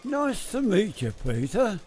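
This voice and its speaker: A man acting a smooth male secret agent who poses as a reporter in an amateur radio play, wry and flirtatious. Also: high-pitched, huge pitch swings, slow pace, a little mellow, exaggerated voice. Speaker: lead actor in amateur radio drama.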